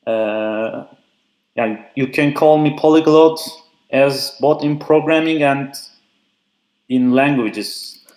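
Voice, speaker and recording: Young man, mid-pitched and loose, talking shop over an echoey remote feed. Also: slow pace, pauses often, a little mellow. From Turkey. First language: Turkish. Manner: frequent "uh" fillers, loose and chatty. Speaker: conference speaker. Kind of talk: casual technical talk. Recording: echoey remote call audio.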